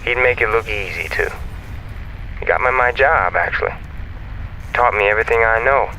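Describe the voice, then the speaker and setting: A chatty, self-assured space comms operator talks in a lowish, gravelly male voice with a forceful edge. He is folksy, easygoing and playful, in naturalistic sci-fi audio drama over ship radio. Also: moderate pace, slight background noise, poor audio. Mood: playful, proud.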